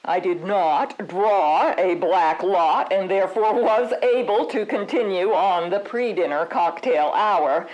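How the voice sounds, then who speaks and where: Woman acting a prim, evasive committee woman, lively pitch. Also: natural voice, low-quality recording. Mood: prim, composed. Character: evasive, chatty suburban committee woman testifying, prim and defensive. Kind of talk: audio drama testimony.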